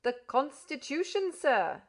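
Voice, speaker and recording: A woman's voice: fairly high pitch, big pitch swings, fairly bright tone, heavily acted. A woman playing a pompous condescending Englishman. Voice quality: pompous, slow, over-explaining. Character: pompous condescending middle-aged Englishman host.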